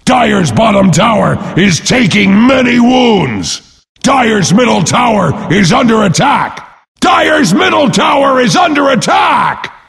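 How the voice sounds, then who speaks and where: A brash warrior brute announcing a video game battle. He bellows pompously at a raised, high pitch, drawing his words out slowly. Every line rings in a long, booming reverb. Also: big pitch swings, vocal fry, fairly bright tone, shouting, heavily acted. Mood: alarmed bellowing. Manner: bellowing, pompous, speaks of himself in third person. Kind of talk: bombastic game announcer lines.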